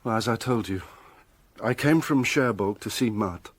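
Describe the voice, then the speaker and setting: A man's voice: lowish voice, normal speed, dry recording, natural voice. An actor playing an earnest, guilt-ridden young man in love, in a radio drama. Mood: troubled, confiding.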